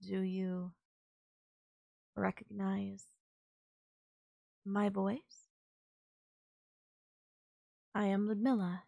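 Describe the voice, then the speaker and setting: A woman's voice: medium pitch, flat delivery, drawn-out words, gravelly, pauses often, no echo, phone-quality sound. A woman playing a sultry, possessive kidnapper who gloats over and coaxes a captive in an intimate audio roleplay. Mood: teasing, menacing. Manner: teasing, coaxing, controlling.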